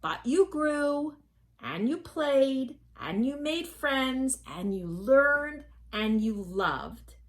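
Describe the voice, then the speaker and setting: A woman playing a kind, earnest preschool teacher giving gentle advice to her class in a puppet show. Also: sits high, sing-song, very slow, full character voice. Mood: tender, encouraging.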